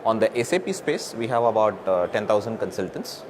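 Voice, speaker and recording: A man's voice: lowish voice, very animated, brisk. A man speaks as a co-presenter in a business presentation. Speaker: corporate co-presenter. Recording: clearly noisy live recording with ambience.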